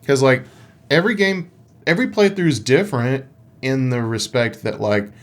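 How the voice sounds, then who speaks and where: A man with a medium-pitched voice talks casually as a podcast co-host. He sounds informal and unscripted, hedging and working out his thoughts as he speaks. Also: moderate pace. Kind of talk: casual conversational podcast chat.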